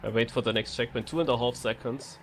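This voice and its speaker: A man co-commentating a video game race live, in halting, hesitant phrases. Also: mid-range voice, fairly even intonation, faint music behind. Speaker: esports co-commentator.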